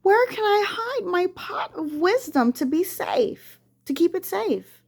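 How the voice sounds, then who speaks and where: Woman plays clever storybook spider, male, fretful and exaggerated, pitched high with big swoops. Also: very animated, takes it slowly, creaky, a little mellow, full character voice. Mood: worried.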